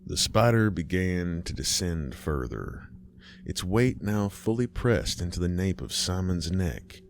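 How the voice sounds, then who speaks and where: A man narrating a horror story in a measured, ominous way. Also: deep voice, expressive, slight background noise. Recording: music bed behind.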